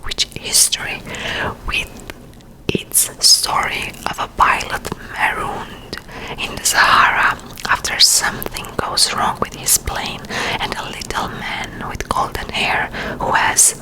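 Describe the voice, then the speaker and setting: A woman's voice: slow, rough recording. A woman whispering close to the mic in an ASMR video, chatting in rambling asides and reading aloud. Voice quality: soft unvoiced whisper. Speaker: ASMR creator. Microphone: close to a sensitive mic.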